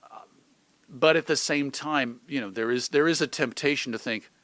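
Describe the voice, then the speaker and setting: American man, mid-pitched, bright voice, reflective and articulate, conversational interview guest, dry recording. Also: normal speed, dry recording. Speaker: interview guest, old-time radio host and magazine editor. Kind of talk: thoughtful conversational interview answers.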